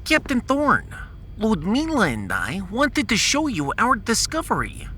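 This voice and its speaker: Pedantic scientist, unhurried delivery, swooping intonation, long-winded, proud, sci-fi audio drama, rumbly noise. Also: male voice, wildly swooping pitch, bright, forceful tone, heavily acted. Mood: earnest, devoted. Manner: long-winded, precise, dropping articles. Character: pedantic, proud male scientist devoted to his wife.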